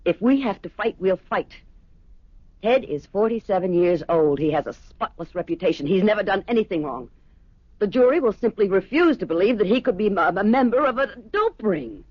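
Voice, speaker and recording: An actress playing a middle-aged wife in a 1970s radio drama, loyal and indignant, halting when shaken. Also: female voice, medium pitch, animated, breathy edge, dry recording. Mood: defiant indignation. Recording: dull vintage radio recording.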